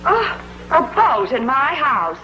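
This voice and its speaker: An exasperated housewife, scolding in a high, lively voice with a bright, tense edge. It is an old 1950s sitcom soundtrack with faint noise. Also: female voice, slow, full character voice, low-quality recording.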